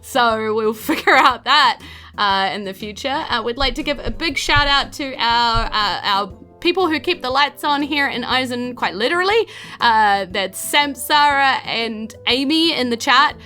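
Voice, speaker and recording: Bright-voiced New Zealand woman, giggly. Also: on the high side, expressive, unhurried, faint music behind. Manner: chatty and giggly, with many fillers. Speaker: game master. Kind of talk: podcast outro and supporter thanks.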